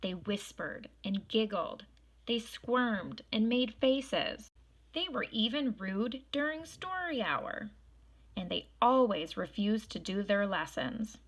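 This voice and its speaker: A woman reading a children's storybook aloud in expressive narration. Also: mid-range voice, slow, rough recording. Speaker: storybook reader.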